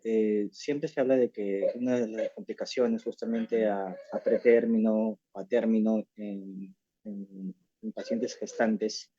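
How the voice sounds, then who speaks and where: A course participant asks a doctor a question over a video call, hesitating and filling pauses often. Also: medium pitch, mellow, breathy edge, no echo. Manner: hesitant, many fillers. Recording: dull lo-fi video call audio.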